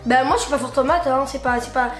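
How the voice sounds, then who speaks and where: A woman's voice: high-pitched, quick pace, reverberant, faint music behind. A teenage girl from Algeria chatting casually, hesitant and playful with many fillers. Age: teens. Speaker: younger sister. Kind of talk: casual chat.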